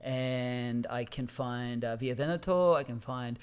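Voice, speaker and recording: A man in his forties, a pastor co-hosting a podcast, chatting in a loose, animated way with frequent fillers and laughs. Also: medium pitch, a little slow, slight background noise. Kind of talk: casual chat.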